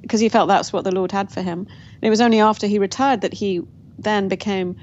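A woman's voice: medium pitch, steady tone, a little fast, a little mellow. A woman, a guest in an interview, telling her family story in a reflective way with frequent restarts. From England. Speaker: guest author. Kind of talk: interview conversation. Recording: dull lo-fi audio with faint noise.

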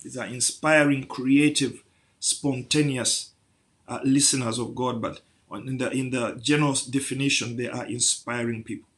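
A man's voice: medium pitch, fairly even intonation, moderate pace, a bit bright. A man preaching a sermon, building through rhetorical questions to emphatic peaks.

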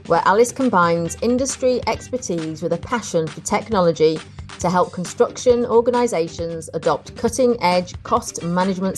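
Welsh woman hosting, bright and animated. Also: fairly low pitch. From North Wales. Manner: warm, effusive and animated. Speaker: podcast host. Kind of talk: chatty podcast interview.

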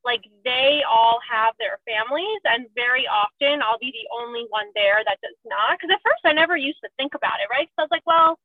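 A woman's voice: a little high, fairly quick, few pauses, no echo. Woman on a tinny phone line. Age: 30s. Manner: chatty, self-mocking, often laughing. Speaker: surgical resident. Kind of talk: candid casual chat.

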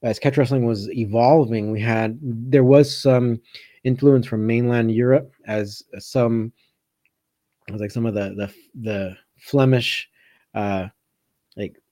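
Male coach for casual livestream Q&A. Warm, unforced voice, halting thinking-aloud delivery, dry acoustics. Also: mid-range voice, steady tone, no echo. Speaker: catch wrestling coach hosting a live Q&A. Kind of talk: casual live chat answering viewer comments.

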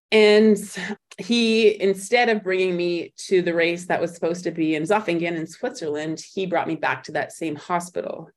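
A woman guest on an interview podcast, recounting her own story in a reflective way, often pausing and restarting as she searches for words. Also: lowish voice. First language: not German; speaks in English.

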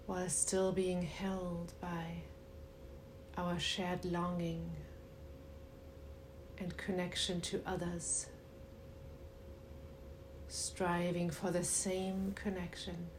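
Very slow, even-toned woman guiding meditation. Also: fairly low pitch, noisy background. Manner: soothing, unhurried, with long pauses. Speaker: meditation guide. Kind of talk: guided meditation.

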